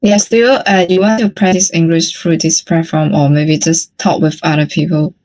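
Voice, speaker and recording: A woman's voice: deep voice, fairly even intonation, normal speed, darkish tone. A woman from Hong Kong with a Hong Kong accent and Cantonese as her native language, chatting casually and politely in English practice, with some hesitation. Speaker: English conversation practice participant. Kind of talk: casual chat.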